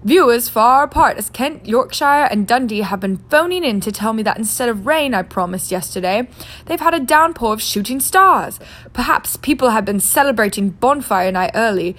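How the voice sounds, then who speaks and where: A woman's voice: on the high side, expressive, normal speed. A woman voicing a chatty, joking male television weatherman in a storybook reading. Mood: jovial. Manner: jovial, loud.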